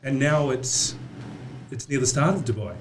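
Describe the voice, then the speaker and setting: A man's voice: medium pitch, moderate pace, slight background noise. A man hosting a podcast interview who asks hesitant, rambling questions full of fillers. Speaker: podcast host. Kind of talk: casual interview.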